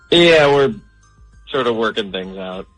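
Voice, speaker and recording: A man's voice: medium pitch, expressive, takes it slowly, dry recording, soft background music. A man answering a phone call in a polite, hesitant manner. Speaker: prank call recipient.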